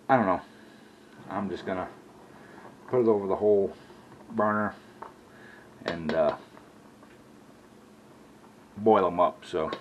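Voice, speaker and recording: A man's voice: low-pitched, muffled recording, slight background noise. A middle-aged man, rambling in a folksy way as he cooks and talks in his home kitchen. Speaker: cooking vlogger. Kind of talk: casual cooking vlog.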